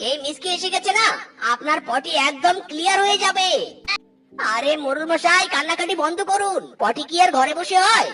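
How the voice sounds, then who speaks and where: খুব চড়া গলার ধূর্ত পশু চরিত্র. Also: extremely high pitch. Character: sly, scheming male animal villager, cheerful schemer. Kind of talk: cartoon voice acting.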